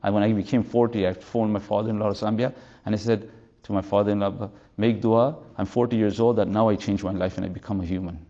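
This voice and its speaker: A man's voice: lowish voice, steady tone, quick pace, dark tone, lo-fi sound. A man of fifty giving an informal religious lecture as a teacher, rambling through anecdotes and mixing in Arabic and Urdu terms. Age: 50s.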